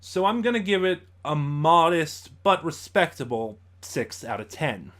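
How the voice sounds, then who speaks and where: A man hosting a casual review, talking conversationally and haltingly as he thinks aloud. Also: fairly high pitch, big pitch swings, fairly bright tone. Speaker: YouTube reviewer, host. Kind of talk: casual audio drama review commentary.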